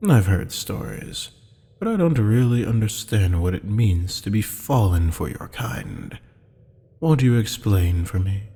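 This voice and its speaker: A man playing a haughty, teasing demon buyer in an ASMR roleplay drama, with a low, gravelly, husky voice and a taunting, mock-polite manner. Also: animated, unhurried, warm tone, exaggerated voice. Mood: taunting, menacing. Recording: long hall-like reverb.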